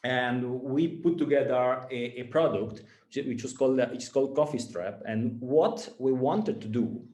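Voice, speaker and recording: A man from Italy with an Italian accent, a startup founder giving a talk to students, speaking haltingly with many fillers and repeated words. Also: mid-range voice, darkish tone, roomy sound.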